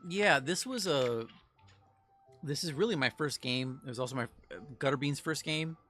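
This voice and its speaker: A man's voice: mid-range voice, expressive, moderate pace, fairly bright tone, faint music behind. A man, a game developer guest on a livestream, chatting casually and rambling with frequent restarts and laughs. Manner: rambling, self-interrupting, laughs often. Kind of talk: casual stream chat.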